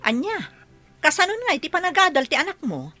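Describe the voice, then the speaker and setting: A woman's voice: sits high, very animated, a little fast, pushed and bright, faint music behind, heavily acted. A woman playing a reluctant, grumbling older sister in an Ilocano village radio drama. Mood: surprised questioning.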